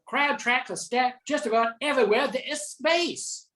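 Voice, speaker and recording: A woman's voice: high voice, normal speed, a bit bright. An older woman playing a folksy narrator of bayou tales in a scripted radio drama. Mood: storytelling.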